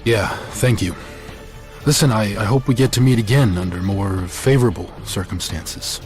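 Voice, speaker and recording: A man acting as a tortured agent in a video game, with a lowish voice. He rambles and exclaims, shaken but talkative, over faint music. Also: moderate pace, darkish tone, lightly acted. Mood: anxious, grateful.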